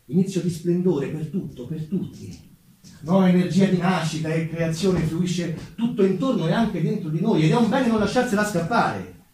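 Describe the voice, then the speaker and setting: A man performing a theatrical narrative monologue, telling the story dramatically with deliberate pauses. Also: a little high, mellow. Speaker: stage actor and narrator. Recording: very echoey room, distant mic, faint noise.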